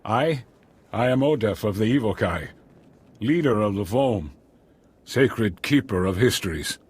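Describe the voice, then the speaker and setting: Game role: humble alien elder chief. Rough, breathy voice, dragging pace, grave and formal. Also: male voice, lowish voice, lively intonation. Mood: solemn, grateful. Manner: halting, formal, solemn phrasing. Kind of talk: video game voice acting.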